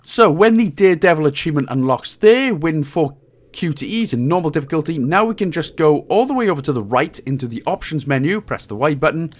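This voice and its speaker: A man's voice: on the high side, expressive, brisk, a little hiss or hum. A man with a Welsh accent giving casual, chatty, slangy walkthrough commentary on a video game as the guide's host. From Wales.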